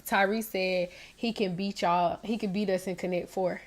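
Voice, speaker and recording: A woman's voice: medium pitch, steady tone, moderate pace. Congested young Southern woman. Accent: Southern US, North Carolina. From Raleigh, North Carolina. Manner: chatty, talks straight to her viewers. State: congested, stuffy nose. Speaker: livestream host. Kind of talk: casual livestream chat.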